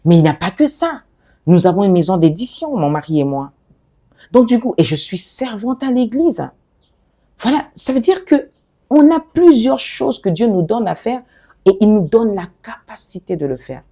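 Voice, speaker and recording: A middle-aged woman from the DR Congo, an interview guest who talks about her work in an animated, expressive way and often restarts her phrases. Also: medium pitch, moderate pace. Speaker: guest, fashion designer and author. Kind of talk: conversational interview.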